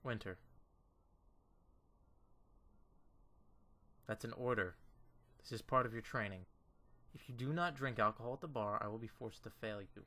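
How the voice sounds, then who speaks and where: A calm male mentor, played by a man in a visual novel drama. His voice sits in a middle range, measured and firm, with a gently persuasive manner. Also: mid-range voice, lightly acted. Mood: stern. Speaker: voice actor in a fan dub.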